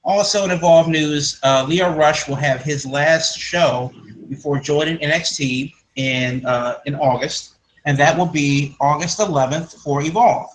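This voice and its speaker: A man's voice: fairly high pitch, a little slow, a little hiss or hum, poor audio. A man hosting a casual podcast, rambling through news with many fillers and restarts. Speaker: podcast host. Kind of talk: casual wrestling news chat.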